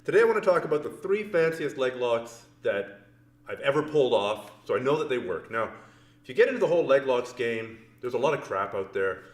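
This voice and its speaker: A man's voice: medium pitch, big pitch swings, fairly quick, echoey room. Casual joking man teaching grappling. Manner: casual, joking, explains step by step. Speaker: grappling instructor. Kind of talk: instructional demonstration. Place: training mat room.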